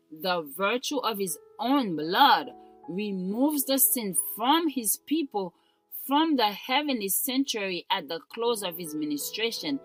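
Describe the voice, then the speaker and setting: A woman reading a scripted devotional in a solemn, deliberate manner with formal diction. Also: on the high side, fairly bright tone, poor audio. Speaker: devotional reader. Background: instrumental music.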